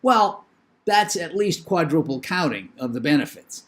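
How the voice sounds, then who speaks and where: An older man with a New England accent giving a recorded lecture in a measured, opinionated way, with thinking pauses and fillers. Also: on the high side, lively intonation.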